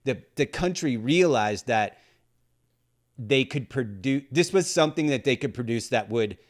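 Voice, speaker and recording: Male podcast host, mid-pitched, rambling, chatty, curses casually. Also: animated, a little slow. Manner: rambling, swearing, lots of fillers. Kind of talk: casual chat.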